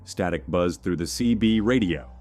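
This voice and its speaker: A man narrating a scripted first-person horror story in a measured, suspenseful manner. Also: sits low, a little mellow.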